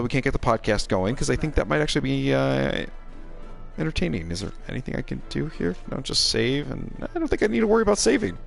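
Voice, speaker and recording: Gravelly, animated man rambling over game music. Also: medium pitch. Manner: rambling, self-deprecating, wry. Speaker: game streamer, co-host. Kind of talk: casual chat while playing a video game.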